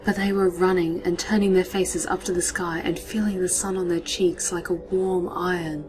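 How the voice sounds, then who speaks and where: Low-voiced woman narrating in hushed tones. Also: flat delivery, normal speed, over music, poor audio. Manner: hushed, often whispered narration. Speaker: storyteller. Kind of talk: dramatic story reading.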